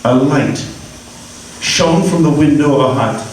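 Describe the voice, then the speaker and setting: A man narrating a classic story in a measured, expressive live radio-play reading. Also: fairly high pitch, far from the mic, slight background noise. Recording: echoey live stage from afar.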